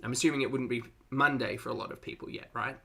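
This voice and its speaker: A man's voice: mid-range voice, fairly even intonation, fast talker, vocal fry, sharp tone, breathy edge. An Australian man hosting a casual livestream, chatting to viewers in a playful, talkative way with cutesy slang. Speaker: livestream host and reactor.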